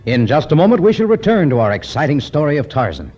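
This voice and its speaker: A man's voice: fairly high pitch, very animated, fast talker. A man narrating a 1950s radio adventure serial as announcer, dramatic and declamatory. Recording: dull old radio recording with music.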